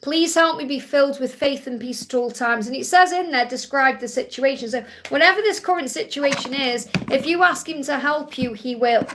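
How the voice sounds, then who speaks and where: A middle-aged woman hosting a live psychic Q&A, chatty and reassuring, whose voice is getting croaky. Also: high-pitched, moderate pace, few pauses, background noise. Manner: chatty, reassuring, peppered with "okay?" and "you know". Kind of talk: live-stream spiritual reading.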